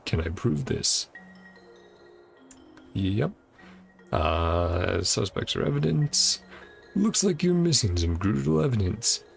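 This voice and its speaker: A man with a heavily creaky voice streams a game, reading the dialogue aloud. His pitch moves around a lot as he slips between reading and casual muttered commentary. Game music plays behind him. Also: big pitch swings, takes it slowly, long pauses. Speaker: streamer playing and reacting to a game.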